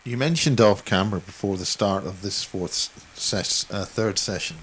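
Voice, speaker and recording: A man interviewing a guest, asking halting, stumbling questions. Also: on the low side, fairly bright tone, slight background noise.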